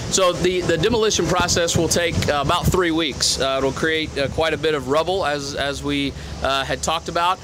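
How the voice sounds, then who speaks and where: A middle-aged man answering press questions outdoors, halting with many repeated words and fillers. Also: a little high. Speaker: interim athletic director. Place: outdoors at a stadium construction site. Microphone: podium microphones on a stand. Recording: outdoor traffic noise behind.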